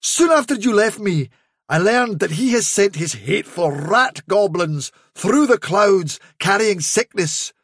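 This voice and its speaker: A man's voice: high-pitched, expressive, measured pace, breathy edge, no room sound, clean studio sound, exaggerated voice. Guy playing a sneaky rabbit faking a fairy voice, all solemn drama, swoops up and down. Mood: solemn, sly. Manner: sly, solemn, dramatic warnings. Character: cunning male trickster rabbit posing as a fairy.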